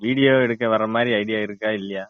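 A man's voice: lowish voice, steady tone, quick pace, background noise. A man chatting casually with friends as a vlogger. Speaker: vlogger planning videos with his friends. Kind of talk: casual chat. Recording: dull lo-fi recording.

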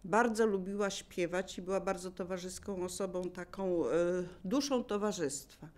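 A woman casually sharing memories of family life, in the relaxed style of oral history. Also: on the low side, normal speed. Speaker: local resident sharing memories.

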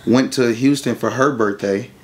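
A man's voice: mid-range voice, normal speed. A young man telling a personal story as an interview guest in a casual, colloquial, matter-of-fact way. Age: young adult.

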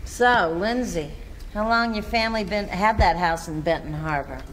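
A woman's voice: mid-range voice, normal speed, slight background noise, natural voice, low-quality recording. A woman actor at a table read, playing a suspicious, hot-tempered middle-aged mother in a prying, scolding voice. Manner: prying, then harsh and scolding. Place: indoor room at a table.

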